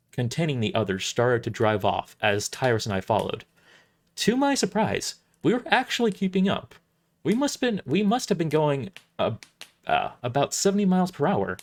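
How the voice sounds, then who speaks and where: A man reading first-person dramatic narration for an audio drama, somewhat halting, often restarting his lines. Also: medium pitch, sing-song.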